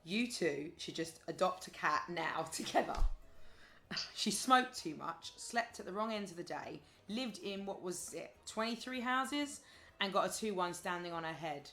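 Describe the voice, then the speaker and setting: A woman's voice: lowish voice, animated, measured pace, sharp tone, exaggerated voice. A woman acting in an audio play as a wry, sociable adult friend who talks in a chatty, sardonic way. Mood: wry exasperation. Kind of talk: audio drama dialogue.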